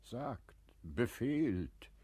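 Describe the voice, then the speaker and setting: An actor playing a wise, humble older merchant and father in a classic radio play, measured and courteous in manner. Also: medium pitch, very animated, mellow, slightly rough, dry recording, heavily acted. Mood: thoughtful, courteous.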